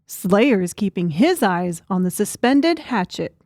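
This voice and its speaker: A woman's voice: mid-range voice, very animated, measured pace, darkish tone, no room sound, clean studio sound. A woman reading scripted narration as the narrator of an audiobook.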